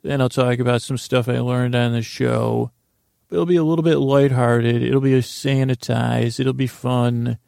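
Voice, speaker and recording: A man hosts a podcast, rambling a meandering bedtime tale with a goofy, self-deprecating ease. His voice is deeply gravelly and creaky, with a narrow, even pitch. He is close on a dry mic with no room sound. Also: unhurried, dry recording. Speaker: podcast host. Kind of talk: rambling bedtime story monologue.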